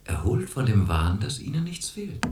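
Radio drama doctor: cool, probing, condescending, swinging intonation, recorded in a roomy echo. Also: male voice, medium pitch, sing-song, moderate pace, background noise. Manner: probing, patronizing questioning. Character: cool, condescending male clinic doctor questioning a patient.

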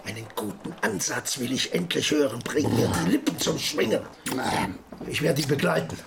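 A man's voice: medium pitch, animated, moderate pace, creaky, a loud crowd. An actor in a German radio drama playing an impatient, commanding male composer with an urgent, exhorting, theatrical manner. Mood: exhorting, frustrated. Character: Bach, impatient, commanding male composer driving his musician.

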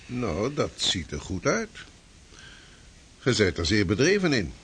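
An actor playing a shrewd 16th-century preacher who speaks in a lively, formal, old-fashioned way. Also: male voice, fairly low pitch, unhurried, husky, heavily acted. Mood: earnest. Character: shrewd 16th-century Dutch preacher, fierce against Spain. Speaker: preacher and cartographer. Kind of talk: radio drama acting.

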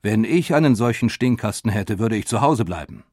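A man playing a motoring pioneer who recalls public mockery with wry drama, in measured, expressive storytelling for an audio documentary. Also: lowish voice, no echo, studio quality. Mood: mocking, indignant. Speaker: voice actor reading historical eyewitness accounts. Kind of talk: dramatized scripted reading of a memoir.

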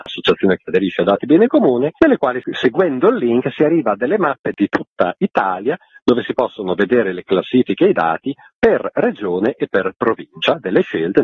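Male interview guest, very rough husky voice with animated range, explaining in detail over a narrow telephone-like connection. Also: on the low side. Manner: explanatory, long detailed sentences. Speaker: interviewed spokesman of a secular association. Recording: muffled phone-like line.

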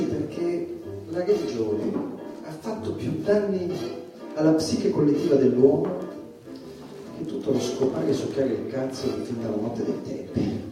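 An actor playing an irreverent, provocative stand-up comedian, declaiming a mocking monologue deliberately. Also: male voice, medium pitch, lightly acted. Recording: echoey, distant, music behind.

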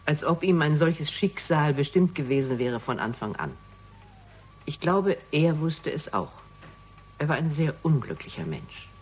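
A woman playing a candid, quick-witted middle-aged widow in a German radio crime drama, frank and expressive. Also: deep voice, breathy edge, exaggerated voice. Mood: candid, wistful.

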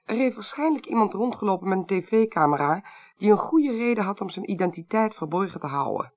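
A woman's voice: medium pitch, animated, brisk, darkish tone, natural voice. Actress as composed, sceptical Dutch female investigator. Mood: doubting, analytical. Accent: Netherlands Dutch. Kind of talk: Dutch radio drama acting.

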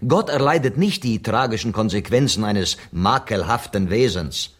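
An actor in a radio play voicing a sober, apologetic interpreter who relays others' words in a measured, deliberate way. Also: male voice, fairly low pitch, lively intonation. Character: sober, apologetic male interpreter between two quarrelling writers.

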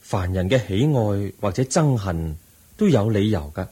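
The serene, wise Buddha. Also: male voice, lowish voice, lively intonation, measured pace, breathy edge. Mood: serene, patient. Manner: gentle, patient, questioning like a teacher. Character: serene wise Buddha, calm male teacher. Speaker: Buddha in a radio play. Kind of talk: 1980s-style radio drama acting.

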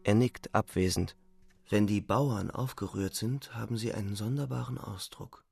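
An actor playing a young, weary front-line soldier who narrates a radio play in a sober, restrained, matter-of-fact way. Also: male voice, fairly low pitch, normal speed, vocal fry, a little mellow, breathy edge, no room sound. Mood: sober, resigned. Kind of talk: scripted radio drama narration. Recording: music faintly behind the voice. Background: music.

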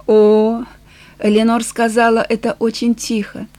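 A woman's voice: on the high side, measured pace, darkish tone, dry recording. A woman reading a novel aloud as scripted audiobook narration.

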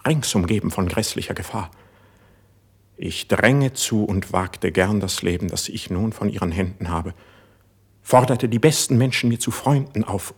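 An actor playing an idealistic, impulsive young poet in a classical German verse radio play, speaking in a fervent, declamatory way. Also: male voice, lowish voice, husky. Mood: rapturous, resolved. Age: young adult.

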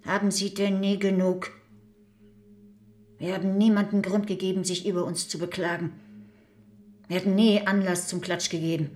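A woman's voice: lowish voice, a little mellow, a little hiss or hum, natural voice. An actress playing a middle-aged, grieving mother in a radio crime drama, curt, hostile and accusing. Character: bitter, accusing grieving mother of a murdered son.

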